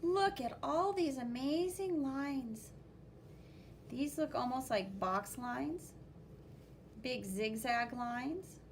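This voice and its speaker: High-voiced woman reading animatedly to children. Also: takes it slowly, a little hiss or hum. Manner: expressive, exclaiming, with spoken sound effects. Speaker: art teacher reading a picture book aloud. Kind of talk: animated children's read-aloud with commentary.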